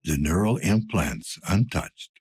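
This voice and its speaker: A man playing a weary, gruff older police detective in a cyberpunk video game, speaking tersely in a low-key, world-weary way. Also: animated, slow pace, darkish tone, dry recording, heavily acted. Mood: grim, clinical. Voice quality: husky, gravelly.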